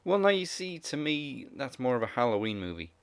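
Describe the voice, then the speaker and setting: A man co-hosting a casual podcast, chatty and quick to laugh, retelling film scenes with put-on voices. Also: mid-range voice, sing-song, clean tone, dry recording. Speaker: podcast co-host.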